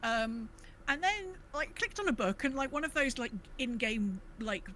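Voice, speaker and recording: A British woman chats casually as a podcast host. Her voice is bright and she tells stories in an animated way, voicing her own reactions. Some background noise sits behind her. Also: a little high, normal speed. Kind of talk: casual gaming podcast chat.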